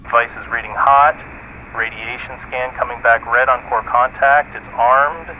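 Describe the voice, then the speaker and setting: A man's voice: steady tone, a little slow, narrow, tinny audio, close to an everyday voice. A man playing a focused bomb technician in a military radio drama, clipped and procedural. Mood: focused, tense. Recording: noisy radio with engine-like rumble.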